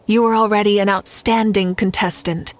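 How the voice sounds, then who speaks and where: A woman's voice: mid-range voice, steady tone, normal speed, natural voice. A woman voicing a confident, gracious female warrior ruler in a video game, with an assured, ceremonial manner. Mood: proud, amused.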